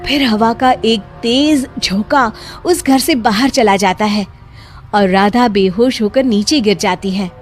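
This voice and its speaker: High-pitched woman narrating a story. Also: a little slow. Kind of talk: scripted cartoon story narration. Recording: music bed behind the voice.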